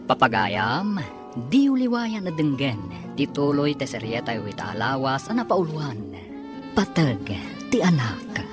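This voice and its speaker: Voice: medium pitch, very animated, unhurried, over music. A radio drama announcer narrating from a script in a dramatic, scripted style.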